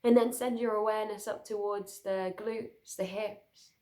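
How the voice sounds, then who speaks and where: A woman leading a guided sleep meditation, speaking soothingly and gently in short, unhurried phrases with pauses. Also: medium pitch, steady tone.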